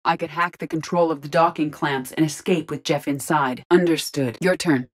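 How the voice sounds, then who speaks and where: A female starship AI living in an android body, voiced for a sci-fi video game. Her voice is low for a woman. She speaks in measured, formal phrases, logical and composed, keeping emotion tightly restrained. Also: fairly even intonation. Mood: calm, matter-of-fact. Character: calm, logical female ship artificial intelligence in android body. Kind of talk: scripted video game voice acting.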